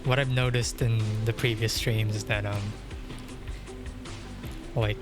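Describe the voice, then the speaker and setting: A man's voice: lowish voice, steady tone, slow pace. A young man chatting casually on a game livestream, rambling with lots of fillers and frequent chuckles, into a dynamic mic at home. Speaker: streamer. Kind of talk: casual livestream chat while gaming. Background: game music.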